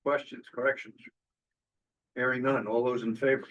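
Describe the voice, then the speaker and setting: A man runs a formal board meeting, moving briskly through motions and votes in set phrases. The sound is slightly dull, like a plain meeting-room recording. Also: mid-range voice, little pitch movement, a bit bright. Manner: brisk, procedural, formulaic motions. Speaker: board chair.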